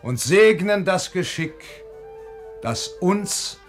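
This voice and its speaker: A man playing a composed, kindly gentleman uncle in a classical verse comedy, declaiming in measured verse. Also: high-pitched, expressive, soft background music. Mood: delighted.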